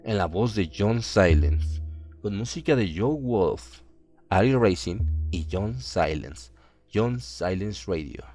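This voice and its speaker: Un hombre que narra una radionovela de terror con guion, de manera solemne y dramática. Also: male voice, on the low side, expressive, slow pace, music in the background. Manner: solemn, dramatic. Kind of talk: scripted horror story narration.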